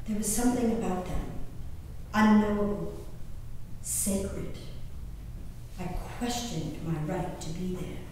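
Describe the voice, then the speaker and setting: Genteel colonial lady diarist played by a woman, slow impassioned declamation, theatrical pauses, distant in a cavernous echoing hall. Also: mid-range voice, warm tone, close to an everyday voice. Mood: hushed, reflective. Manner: declamatory, deliberate, with dramatic pauses. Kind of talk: theatrical dramatic reading of historical diaries. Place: live stage performance in a reverberant hall. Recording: very echoey hall from far off, noisy.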